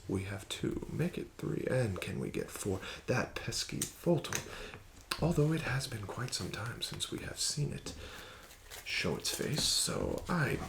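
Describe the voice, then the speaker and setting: A man hosts an ASMR casino roleplay as a flattering dealer. His voice sits very low with a gravelly edge, and he talks slowly with a lively, rising and falling lilt. A steady hiss runs under the recording. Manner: smooth, flattering, unhurried dealer patter.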